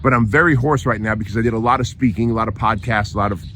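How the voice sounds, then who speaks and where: A hoarse man with a fairly low voice speaks straight to his listeners in an emphatic motivational monologue. He leans on repetition and keeps tossing in "right?" to keep them with him. A faint hiss of room noise sits under his voice. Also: racing, bright, forceful tone. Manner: emphatic, repeats phrases, frequent tag "right?". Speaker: podcast host.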